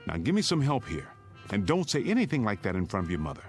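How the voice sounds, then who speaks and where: A man's voice: fairly low pitch, wildly swooping pitch, quick pace, a little mellow, faint music behind. An American man acting in a radio drama as an urgent, middle-aged father who takes charge and steadies his family. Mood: urgent, commanding.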